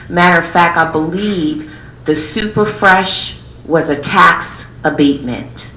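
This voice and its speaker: A woman's voice: low-pitched, a bit bright, reverberant, slight background noise, rough recording. A woman resident speaking during public comment at a township council meeting, earnest and pressing with her questions.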